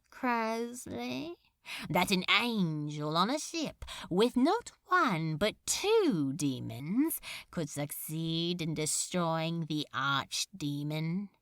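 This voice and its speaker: A woman's voice: lowish voice, a bit bright, dry recording, clean studio sound, exaggerated voice. A woman voicing a cruel demon lord in an audiobook, mock-polite and languid, with drawling, archaic menace. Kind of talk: audiobook character acting.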